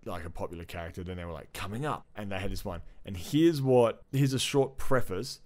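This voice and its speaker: Low-voiced man chatting, sweary and slangy. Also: a little fast, a little mellow. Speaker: podcast co-host. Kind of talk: casual pop-culture chat.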